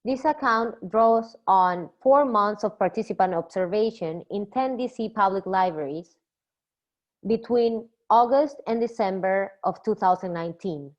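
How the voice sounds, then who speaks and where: A young woman from Peru, a doctoral student, reading a measured academic poster presentation from a script. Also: medium pitch. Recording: slightly dull lo-fi recording.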